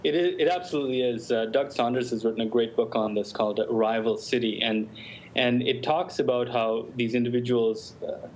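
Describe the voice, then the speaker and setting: A man's voice: mid-range voice, fairly quick, slight background noise, rough recording. A man in his thirties, an immigration lawyer arguing bluntly on a televised panel over a video call. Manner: forceful, blunt, argumentative.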